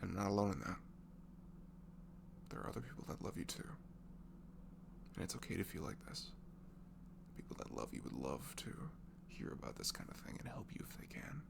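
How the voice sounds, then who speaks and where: A young man softly leaving an intimate, improvised comfort message in ASMR style, tender and reassuring, murmuring and often slipping into a breathy whisper. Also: lowish voice, sing-song, moderate pace, long pauses. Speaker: amateur audio performer playing a comforting friend. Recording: clearly noisy home recording with hum.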